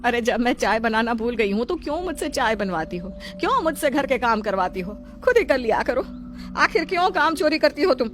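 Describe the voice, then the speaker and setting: A woman's voice: a little high, sing-song, brisk, over music, voice pushed up. Woman acting an indignant, scolding older mother-in-law. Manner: scolding, sarcastic retorts.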